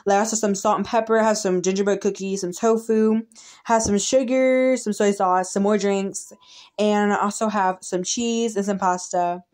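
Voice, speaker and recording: A woman's voice: mid-range voice, fairly even intonation, normal speed, a little mellow. A woman YouTuber narrating a casual game walkthrough in a chatty, rambling way, often restarting her sentences while filming a tablet screen with her phone.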